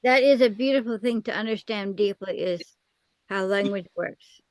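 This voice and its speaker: A woman leading a casual Bible study discussion, often affirming others with soft "mm-hmm"s. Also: mid-range voice, normal speed, no echo.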